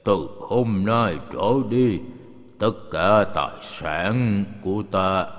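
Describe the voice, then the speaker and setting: A man playing a dying old rich father in a dubbed cartoon, solemn, tender and deliberate. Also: fairly low pitch. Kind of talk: dubbed animation acting. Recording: muffled audio with long reverb.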